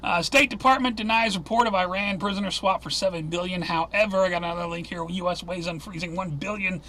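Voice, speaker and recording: A man hosting his own news rundown, reading headlines quickly with sarcastic asides and a voice going hoarse. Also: high voice, bright, forceful tone, slight background noise. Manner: rapid-fire, sarcastic, jumps between headlines with asides. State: losing his voice. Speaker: news commentary host. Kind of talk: casual solo news rundown.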